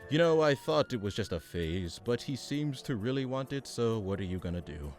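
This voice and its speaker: A man's voice: medium pitch, animated, moderate pace, darkish tone, soft background music, lightly acted. A man playing a weary, pompous supervillain mastermind running a meeting in a comedic radio play, businesslike and dryly exasperated.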